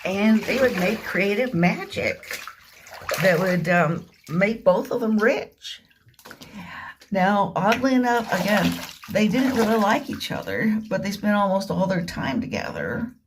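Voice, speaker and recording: Slow, halting woman in informal monologue. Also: mid-range voice, expressive, noisy background. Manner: halting, repetitive, with deliberate emphasis. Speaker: vlogger. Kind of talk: rambling informal monologue retelling and commenting on a radio drama.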